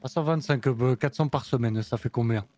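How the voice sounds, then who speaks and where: A man voicing a composed, businesslike supplier with a German accent, talking in measured sales patter. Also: medium pitch, a little mellow, faint music behind, close to an everyday voice. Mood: calm selling. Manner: smooth, measured salesman talk. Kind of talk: roleplay in character.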